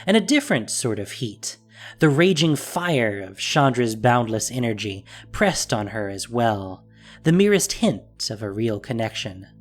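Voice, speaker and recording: Male narrator for fantasy audio drama, mid-pitched, scripted storytelling with music underneath. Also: very animated, a little slow.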